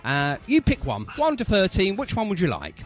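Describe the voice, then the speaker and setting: Lively, higher-pitched male radio host. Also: normal speed, soft background music. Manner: effusive, repeats praise, jokey banter. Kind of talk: casual chatty radio interview.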